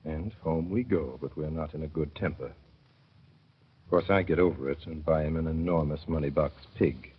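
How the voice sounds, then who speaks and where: Deep husky man narrating old radio drama. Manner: wry, mournful storytelling, slowing to dwell on sad images. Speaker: narrator and father. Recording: dull old radio broadcast.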